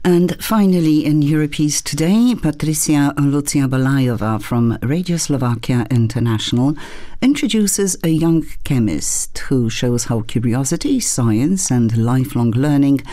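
Mellow, dark-toned woman radio host. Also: a bass voice, animated, a little slow. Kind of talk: scripted link between reports.

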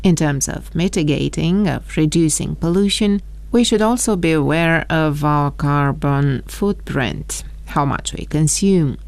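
A woman radio reporter delivers a scripted report. Her voice sits low and carries a noticeable gravelly fry. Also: a little slow, a little mellow, no echo. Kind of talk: scripted news report narration.

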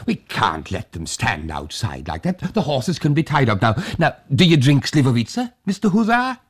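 A man voicing an excitable old village man who fusses over his guests, eager and stammering. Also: mid-range voice, moderate pace, breathy edge, pitched above the performer's own voice.

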